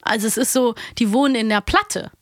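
A woman's voice: a little high, a little fast, no echo, clean studio sound. A woman co-hosting a show in casual, chatty conversation. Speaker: podcast co-host. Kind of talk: casual chat about audio dramas.

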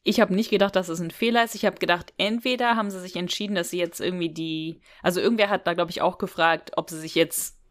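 Lowish-voiced woman chatting on a podcast. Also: fairly even intonation, fast talker, few pauses. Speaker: podcast co-host. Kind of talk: casual podcast chat.

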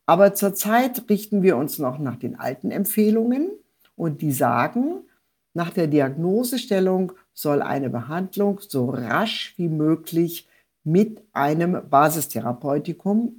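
A woman giving an expert medical explanation in a measured, structured way with technical terms. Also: deep voice, expressive, darkish tone, breathy edge. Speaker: rheumatologist, professor, expert speaker.

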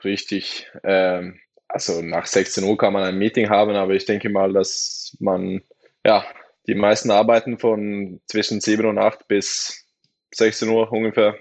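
A Norwegian-born man speaking German as a guest in a casual interview. He has a Norwegian accent, searches for words and pauses on many fillers. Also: lowish voice, little pitch movement. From Norway. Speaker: guest, handball player.

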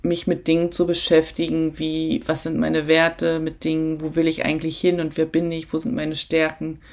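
A woman's voice: sits low, completely flat delivery, normal speed. A woman hosting a solo podcast, talking casually and reflectively straight to the listener, with frequent filler sounds and self-corrections. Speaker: podcast host.

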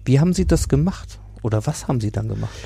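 A man in his forties hosting a conversational podcast interview, feeling his way through halting, circling phrases. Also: medium pitch, expressive, gravelly, mellow, breathy edge, no room sound. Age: 40s.

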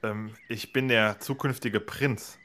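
An actor playing a naive, eager young fairy-tale hero in an audio drama, earnest and a little hesitant. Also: male voice, on the low side, fairly even intonation, slow, pushed and bright.

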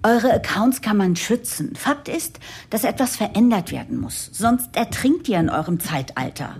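Earnest, husky office narrator. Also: female voice, mid-range voice, animated, moderate pace, a little mellow. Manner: earnest, formal, diplomatic. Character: earnest, composed woman narrator championing change, jargon-heavy. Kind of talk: first-person audio drama narration.

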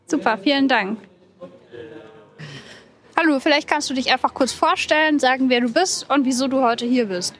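High-voiced woman asking questions. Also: normal speed, pauses often, a bit bright. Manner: friendly, chatty questions. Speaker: interviewer. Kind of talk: event interview.

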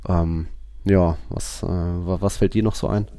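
Low-voiced, mellow male football podcaster. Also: steady tone, measured pace, dry recording, clean studio sound. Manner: slangy, colloquial. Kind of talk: casual football chat.

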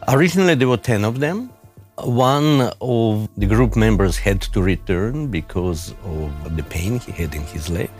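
A middle-aged man with a Russian accent, a professor giving measured, deliberate interview answers with occasional fillers. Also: lowish voice, expressive, darkish tone, faint music behind. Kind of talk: interview answers, recounting a case.

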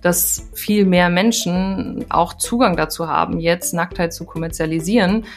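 A woman's voice: on the low side, measured pace, soft background music. A woman giving her opinion as a commentator, in a reflective way. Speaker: opinion blogger, guest commentator.

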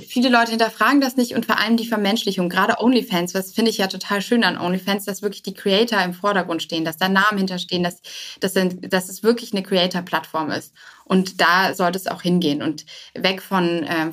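A woman's voice: on the low side, a bit bright. A woman giving casual interview answers as a start-up founder, informal and hesitant, with English business words mixed in.

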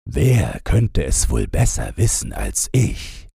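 Storybook part: a gentle reindeer, slow and hushed, dark husky tone, as a male reader voices him. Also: rumbling low, huge pitch swings, dry recording, clean studio sound, exaggerated voice. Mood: proud.

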